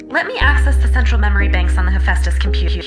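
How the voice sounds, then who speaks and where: Actress as a lively, wry female computer mind. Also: medium pitch, quick pace, over music, lightly acted. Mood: helpful. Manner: conversational, wry, exasperated humanlike delivery. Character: personable, wry female AI of a space station. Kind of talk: radio drama acting.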